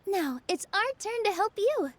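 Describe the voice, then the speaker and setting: A woman's voice: very high-pitched, very animated, measured pace, a little hiss or hum, full character voice. A woman voicing a kind, earnest young dancer girl in a video game, sweet and supportive. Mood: earnest, encouraging. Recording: music behind.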